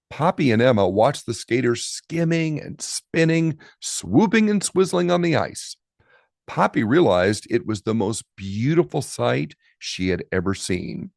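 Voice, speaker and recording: A man narrates a picture book for children in an expressive, lively style. He takes it slowly with dramatic pauses, and his voice has a gravelly creak. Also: mid-range voice, no room sound, studio quality. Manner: dramatic pauses, drawn-out key words. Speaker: storybook reader.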